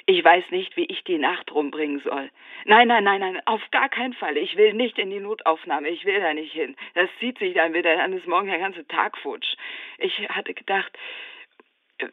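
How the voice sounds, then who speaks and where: An actress playing a panicked, sleepless patient who stammers and repeats herself while pleading on a doctor's voicemail, through a telephone filter. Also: female voice, lowish voice, moderate pace, no echo. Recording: tinny narrow phone line, dry.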